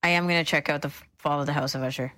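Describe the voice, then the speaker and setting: A woman's voice: low voice, fairly even intonation, racing, a bit bright. A woman co-hosting a radio show, chatting in an informal, playful way. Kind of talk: casual chatty show talk.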